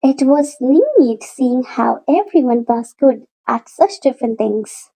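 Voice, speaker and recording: A woman narrates a children's storybook in a high, mellow voice. She takes her time, stretching key words for emphasis. Her delivery is expressive and clearly aimed at young listeners. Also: rough recording. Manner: animated, deliberate storytelling with drawn-out emphasis. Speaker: storybook read-aloud narrator. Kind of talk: expressive children's picture book reading.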